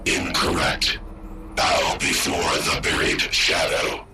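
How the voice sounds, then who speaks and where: Buried war-machine AI in a game, processed voice, extremely deep, slow and terse. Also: male voice, very noisy. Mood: cold, commanding. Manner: terse, flat, issues commands. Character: ancient buried war-machine AI, cold and commanding.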